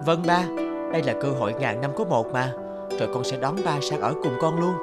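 A man's voice: mid-range voice, a little fast, lightly acted. A man voicing an earnest, guilt-ridden young researcher and devoted son in a cartoon. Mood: hopeful. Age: young adult. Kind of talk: cartoon voice acting. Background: music.

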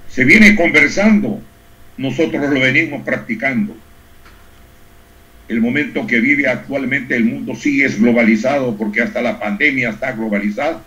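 An older man gives a formal closing speech in a deliberate, oratorical manner, in short measured phrases. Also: on the low side, fairly bright tone. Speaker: education institute director giving a closing speech. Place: indoors on a group video call. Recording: muffled, lo-fi video call audio.

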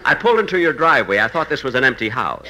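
A struggling film writer, eager and earnest. His bright, punchy voice swings widely in pitch, in comic fifties radio style. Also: big pitch swings, racing, poor audio. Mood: startled. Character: eager, struggling Hollywood screenwriter. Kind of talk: 1950s radio comedy acting.

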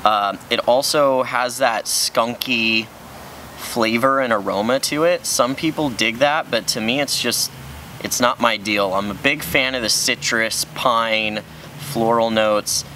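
A man's voice: mid-range voice, measured pace, bright, forceful tone, a little hiss or hum. A young man co-hosting a casual beer review on an outdoor brewery patio, giving frank, matter-of-fact opinions on each beer.

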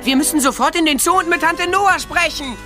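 A woman's voice: very high-pitched, brisk, music in the background. A woman voicing a greedy, naive little talking tomcat, eager, in a children's radio play. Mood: earnest.

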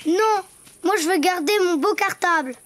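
A woman's voice: very high-pitched, normal speed, fairly bright tone, slight background noise. A woman voicing a playful, proud little boy donkey in a children's cartoon, with a bouncy, childlike delivery. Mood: stubborn. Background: children's cartoon music.

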